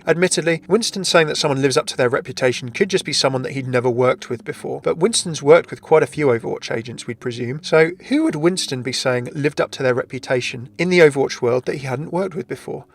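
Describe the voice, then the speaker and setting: A man narrating scripted video game lore commentary in an inquisitive, explanatory way. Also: a little high. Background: background music.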